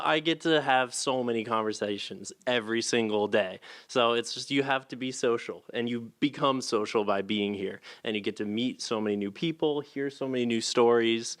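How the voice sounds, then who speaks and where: A man's voice: medium pitch, a bit bright. A man in his thirties, a dorm head, speaking in an upbeat, chatty way into a handheld mic.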